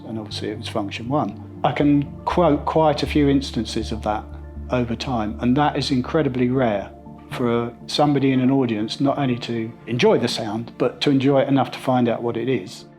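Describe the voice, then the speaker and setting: Clear background music with guitar sits behind a middle-aged man giving an interview testimonial. His pitch is average for a man, and he talks in a conversational, reflective way with evident enthusiasm. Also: fairly even intonation, moderate pace, darkish tone. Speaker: sound system installer interviewee.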